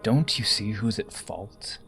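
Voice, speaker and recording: A man acting a coldly taunting, possessively fond rebel with hushed, deliberate menace in an audio drama. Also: on the low side, expressive, creaky, soft background music, exaggerated voice. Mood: persuasive, cold.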